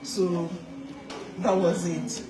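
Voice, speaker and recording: Nigerian woman chatting easy and soft, mic kinda far off, echoey room full of people. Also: fairly low pitch, fairly even intonation, extremely slow. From Nigeria, Ogun State. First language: Yoruba. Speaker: church member interviewed. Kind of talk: casual interview answers. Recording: echoey and distant with a loud crowd.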